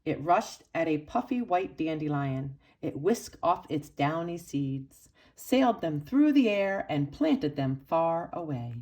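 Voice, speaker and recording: Woman, slightly low voice, children's storybook read-aloud, expressive phrasing, dramatic pauses. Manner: dramatic emphasis and pauses for young listeners. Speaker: storybook reader for children's church.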